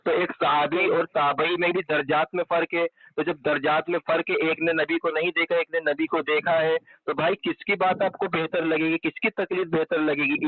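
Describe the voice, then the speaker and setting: Male debater, fairly high voice, pressing and repetitive in religious argument, recorded off a dull phone line. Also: slight background noise. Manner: insistent, repeats pointed questions. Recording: muffled, band-limited telephone call recording.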